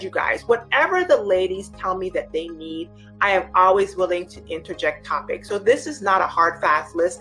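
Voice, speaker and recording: A woman's voice: medium pitch, bright, forceful tone. Woman life coach chatting over background music. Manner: informal, chatty, addresses listeners directly as you guys. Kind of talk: casual explainer talk to online followers.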